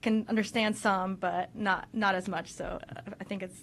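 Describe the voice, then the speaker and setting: A woman's voice: medium pitch, steady tone, moderate pace, fairly bright tone, slight background noise. A woman physician giving a medical webinar lecture, explaining step by step with frequent "so" and restarts; English is her second language after Russian. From United States. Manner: explanatory, many "so" fillers and restarts. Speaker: physician presenter.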